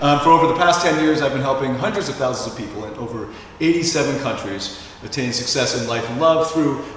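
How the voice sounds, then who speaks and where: A man hosting a conversational interview, speaking haltingly as he searches for his words. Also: medium pitch. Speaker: show host and life coach. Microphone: distant from the speaker. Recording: very echoey hall, distant mic, faint noise.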